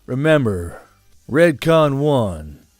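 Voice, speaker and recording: A man's voice: medium pitch, very animated, slow pace, dry recording, faint music behind. A man delivering an informal sponsor message as a host.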